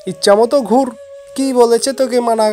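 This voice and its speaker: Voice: medium pitch, steady tone, a little fast, close to an everyday voice. An actor as a scolding, doting village father over music. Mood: impatient. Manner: repetitive, rustic scolding talk. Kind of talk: amateur cartoon voice acting. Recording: loud music behind the voice.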